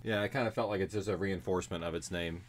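A man's voice: low-pitched, fairly even intonation, fast talker. A man talking casually with colleagues during a studio discussion.

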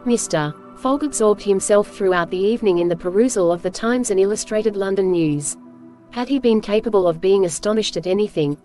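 A woman reading scripted storybook narration as host, with measured, clear phrasing. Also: medium pitch, dark tone.

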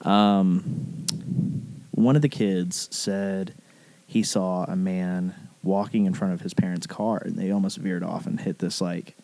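A man from the rural American South telling a personal story casually, in animated, rambling speech full of profane slang. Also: sits low, a little slow, gravelly, no room sound. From southern United States, rural Alabama.

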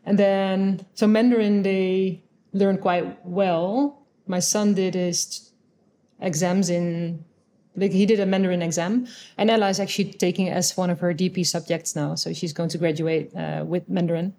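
A woman's voice: on the low side, steady tone, moderate pace, dark tone, a little hiss or hum. A middle-aged Dutch woman with a Dutch accent, speaking thoughtfully as an interview guest, often restarting her sentences. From Netherlands. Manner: thoughtful, with frequent restarts and fillers. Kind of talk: casual interview conversation.

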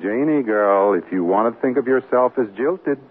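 An actor playing a wry, mildly sheepish newlywed husband in an old-time radio comedy, bantering. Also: male voice, mid-range voice, lively intonation, moderate pace, breathy edge, phone-quality sound. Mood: wry, placating. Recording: thin, hissy vintage radio recording.

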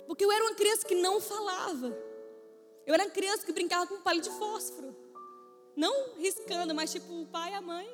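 High-voiced woman preaching a playful testimony. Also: brisk, big-room sound, music in the background. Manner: playful storytelling, acts out quoted voices. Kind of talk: humorous testimony sermon.

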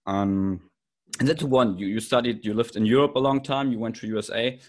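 A man's voice: mid-range voice, a little fast, a little mellow. A man hosting a casual interview, chiming in with agreement and examples of his own. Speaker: podcast host, interviewer.

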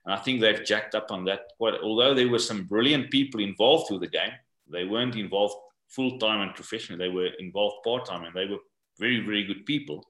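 An older man from South Africa, English not his first language, answering interview questions in a halting way and often repeating words. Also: lowish voice, a bit bright, roomy sound, rough recording. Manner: halting, repeats words while searching for them. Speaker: guest, rugby coach. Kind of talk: podcast interview.

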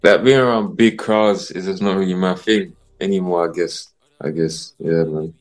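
Low-voiced South London man, slangy. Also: fairly even intonation, moderate pace. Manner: mellow, mumbly, full of street slang. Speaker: guest musician. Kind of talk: casual interview chat.

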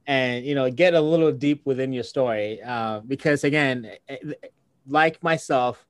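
A man who grew up outside the United States hosts a conversational interview, musing aloud and voicing his own inner thoughts. His voice is mid-pitched. It is recorded close and dry, with no room echo. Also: normal speed. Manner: reflective, many fillers, acts out inner thoughts.

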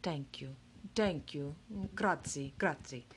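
A woman's voice: medium pitch, very animated, a little hiss or hum. Woman doing a zany old showman game maker. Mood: timid, soft. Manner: starts timid and slow, then crisp and rapid-fire. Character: eccentric older male game maker, playful showman.

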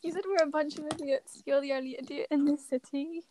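A teenage girl joking with friends on a podcast call, teasing and deadpan. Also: high voice, fast, slight background noise. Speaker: podcast co-host. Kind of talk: casual chat among friends.

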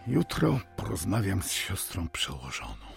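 A cold-hearted, scheming innkeeper father in a historical radio drama. His voice is gravelly and moves widely in pitch, with menace under mock courtesy. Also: huge pitch swings, a little slow, faint music behind, exaggerated voice. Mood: bitter, menacing.

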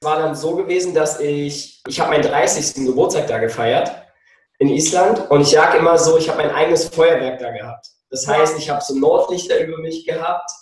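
Excited German man in his thirties, echoey call. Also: a little high, fairly quick, recorded from a distance. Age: 30s. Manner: enthusiastic, rambling storyteller. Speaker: podcast guest. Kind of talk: casual interview over video call. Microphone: video call. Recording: roomy echo, voice off-mic.

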